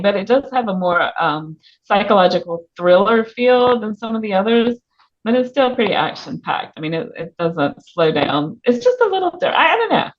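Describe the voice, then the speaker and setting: A woman speaking as an author guest in a casual interview, informal and a little hesitant as she thinks aloud. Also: mid-range voice. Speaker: author guest on a book podcast. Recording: muffled, band-limited audio.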